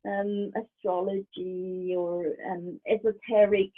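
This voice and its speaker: A middle-aged woman whose native language is German, a medium speaking as an interview guest over a video call in a thoughtful, halting way, often restarting and laughing softly. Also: mid-range voice, little pitch movement. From Switzerland. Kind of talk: casual interview. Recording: tinny video call line.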